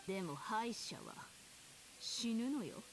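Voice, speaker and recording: A woman's voice: fairly high pitch, expressive, normal speed, noisy background, natural voice. A woman playing a haughty, cruel, elegant villainess in a Japanese video game, speaking with imperious, mocking theatricality. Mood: cold, menacing. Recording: game audio under loud music. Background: loud game music.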